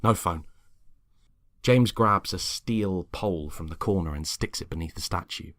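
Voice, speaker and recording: Deep-voiced man narrating a dramatic story. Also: normal speed, no room sound, clean studio sound. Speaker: story reader.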